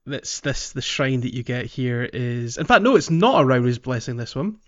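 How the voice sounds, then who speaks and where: A man co-hosting a casual video game podcast, speaking haltingly and repeating words as he thinks. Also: mid-range voice, fairly bright tone, lo-fi sound. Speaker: podcast co-host.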